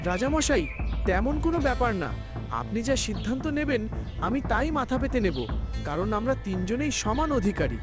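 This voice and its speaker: A man's voice: very high-pitched, very animated, a little fast, over music. A man voicing an earnest, humble young hero in a dramatic cartoon dub.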